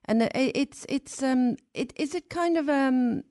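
A woman hosting a radio interview, asking questions haltingly with many restarts and fillers. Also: high-pitched, darkish tone, dry recording, studio quality. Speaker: radio host.

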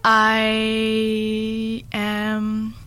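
A woman's voice: on the high side, completely flat delivery, extremely slow, sharp tone, smooth, no room sound. A young woman from Malaysia who grew up in New Zealand, chatting casually as an interview guest and often searching for words. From Malaysia, in New Zealand since age ten. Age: young adult. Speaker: podcast guest, student association president.

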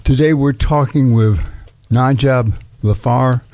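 A man's voice: mid-range voice, slow, dark tone, dry recording. A man hosting a community radio interview, introducing his guest and asking questions plainly. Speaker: radio host.

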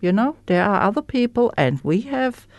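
A woman with a German accent, a native German speaker, candidly sharing her recovery story as a guest in an interview, pausing to search for words. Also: medium pitch, very animated, a little mellow, dry recording. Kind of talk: personal testimony in an interview.

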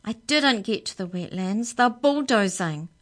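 A woman voicing an angry, outspoken schoolgirl who talks loudly and fast. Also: fairly low pitch, lightly acted. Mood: furious. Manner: loud, rapid, indignant. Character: angry outspoken schoolgirl, curly-haired and stubborn.

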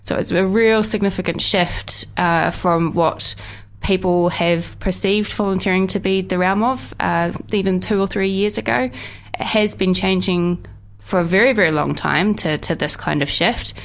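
Female interview guest, slightly low voice, informative and careful in phrasing. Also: normal speed. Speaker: interview guest from a volunteer organisation.

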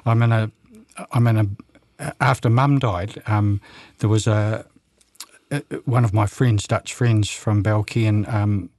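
An older man of about seventy, from New Zealand, talks as a guest on local radio. His voice sits low and the sound is close and dry. He speaks haltingly, full of ums and restarts. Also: fairly even intonation, darkish tone, no room sound. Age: around 70. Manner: halting, many fillers and restarts, chuckles. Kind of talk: casual radio interview.

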